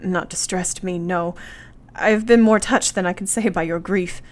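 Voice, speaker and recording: An actress playing an earnest, tender young Victorian wife in a radio play, with formal period diction. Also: female voice, on the low side, fairly even intonation, unhurried, close to an everyday voice. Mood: gentle, sympathetic.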